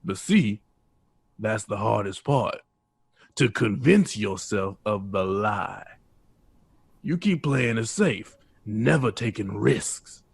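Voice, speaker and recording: Deep, husky, wise old man. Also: animated, slow pace, dry recording, heavily acted. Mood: earnest counsel. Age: older. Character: wise, blunt old man, philosophical and unhurried. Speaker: actor. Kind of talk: audio drama acting.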